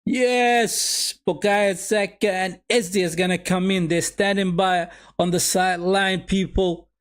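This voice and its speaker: A man's voice: sits high, fairly even intonation, measured pace. A man whose first language is Somali, running a live football watch-along and calling the game for his viewers, often repeating his phrases. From Sweden.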